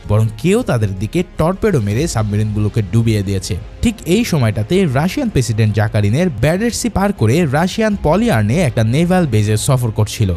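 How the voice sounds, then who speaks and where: A man's voice: mid-range voice, dark tone. A man narrating a film's story as a scripted, dramatic recap. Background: background music.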